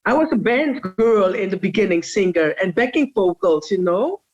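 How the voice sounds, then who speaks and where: A middle-aged woman from Suriname, raised in the Netherlands, speaking English with a Dutch accent as an interview guest, laughing easily and pausing to search for words. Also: medium pitch, animated, dull audio. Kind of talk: casual interview chat.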